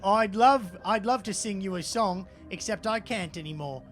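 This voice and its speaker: A man's voice: sits high, normal speed, a bit bright, soft background music. A man voicing a washed-up, crippled former rock singer in a tabletop game, rambling and self-pitying in a shoddy put-on accent. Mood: wistful, self-pitying.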